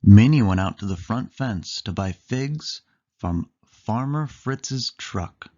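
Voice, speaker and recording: Male kids' storybook reader, slow and very animated, emphatic on key words. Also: fairly low pitch, lo-fi sound. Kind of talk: children's read-aloud narration.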